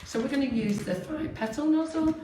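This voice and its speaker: Woman, squeaky voice, chatty live baking demo; roomy kitchen, distant mic. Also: brisk, dark tone. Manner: chatty, laughs often, explains steps plainly. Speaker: baking show co-host. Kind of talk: live cake decorating demo. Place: home kitchen in Spain. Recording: echoey kitchen, far mic, hiss.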